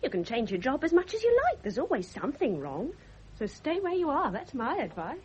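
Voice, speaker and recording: An actress playing a resigned, plain-spoken servant with a husky voice and a fatalistic, grumbling manner in radio drama. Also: female voice, sits high, wildly swooping pitch, brisk, darkish tone, a little hiss or hum. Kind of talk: 1970s radio drama acting. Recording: muffled vintage radio drama recording.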